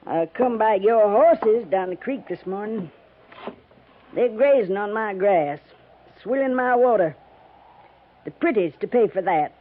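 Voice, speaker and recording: A woman's voice: medium pitch, expressive, a little slow, pauses often. An actress playing a tough, stubborn frontier homesteader woman with a rural American accent and a blunt, folksy manner in a radio western. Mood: stern, defiant. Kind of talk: 1950s radio western acting. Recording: tinny, band-limited 1950s radio recording.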